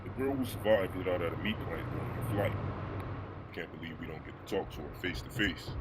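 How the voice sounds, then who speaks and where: A man's voice: deep voice, brisk, lightly acted. A man playing a blunt, grumbling lawman with a dry, profane manner in a motion comic drama. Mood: sardonic, grumbling. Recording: loud background noise, insects.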